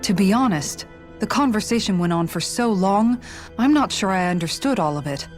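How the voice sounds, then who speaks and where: A woman's voice: mid-range voice, animated, normal speed, soft background music, lightly acted. A woman playing an earnest, courteous, inquisitive young female warrior in a video game, polite and questioning. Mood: puzzled. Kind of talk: video game cutscene acting.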